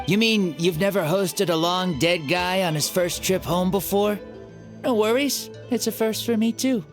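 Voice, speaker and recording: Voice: mid-range voice, unhurried, soft background music, close to an everyday voice. An actor voicing a playful ancient hero spirit in a young boy's body, cocky and theatrical, for a video game. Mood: teasing.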